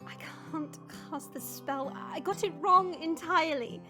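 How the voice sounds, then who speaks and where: A woman's voice: very high voice, a little slow, music in the background. A woman playing a proud young dragon princess in human form, speaking formally and earnestly in a dramatic fantasy audio roleplay. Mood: guilty, confessing.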